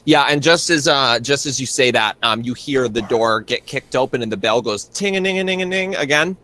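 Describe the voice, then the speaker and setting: A man's voice: mid-range voice, a little fast, pushed and bright. A man improvising narration as game master of a tabletop roleplay, in a casual, descriptive way.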